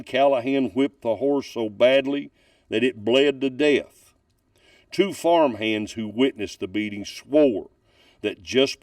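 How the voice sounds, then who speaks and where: A man reading a ghost story aloud from a book as a storyteller, with deliberate, dramatic emphasis. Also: mid-range voice, a little mellow, no room sound, studio quality, distant mic. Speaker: narrator reading ghost stories.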